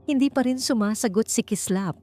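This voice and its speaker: A woman's voice: fairly high pitch, brisk, warm tone, faint music behind. A woman with a Filipino accent narrating a scripted story in an emotive, theatrical way, as an audiobook narrator.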